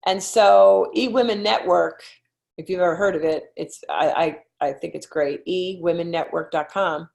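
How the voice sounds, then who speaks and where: A woman's voice: lowish voice, steady tone, moderate pace, creaky, darkish tone. A middle-aged woman with a Philadelphia accent, a real estate coach giving a chatty online presentation full of fillers and "okay?" check-ins. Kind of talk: webinar presentation.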